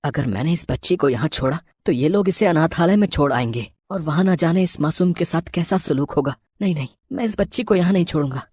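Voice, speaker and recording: A woman's voice: low-pitched, animated, fairly quick, hardly any pauses, a little mellow, no room sound, clean studio sound. A woman voicing an earnest twelve-year-old orphan boy, tender and determined, in a dramatized moral story. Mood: earnest resolve.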